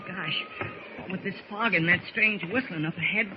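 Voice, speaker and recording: Excitable high-voiced boy, very noisy recording. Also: normal speed. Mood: anxious, excited. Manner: gee-whiz exclamations, excitable questioning. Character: eager, wide-eyed boy, a young ship's guest. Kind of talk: 1940s radio acting. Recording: muffled, very noisy old radio recording.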